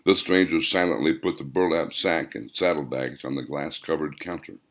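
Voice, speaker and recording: A man reading scripted audiobook narration as the author, in measured, deliberate phrases. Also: very deep voice.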